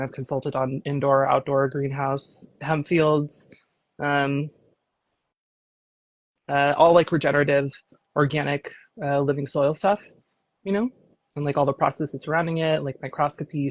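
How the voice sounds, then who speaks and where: A woman, slightly raspy from a cold, talking casually as a grower and consultant in a livestream interview, with many fillers. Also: sits low.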